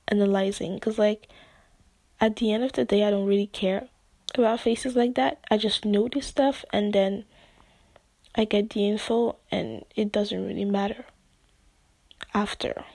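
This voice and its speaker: A woman's voice: mid-range voice, breathy edge, no echo. A woman who speaks English as a second language, hosting a casual solo podcast and rambling through her thoughts with many fillers and restarts, often close to a whisper. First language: not English. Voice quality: soft, often near-whispered delivery. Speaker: podcast host.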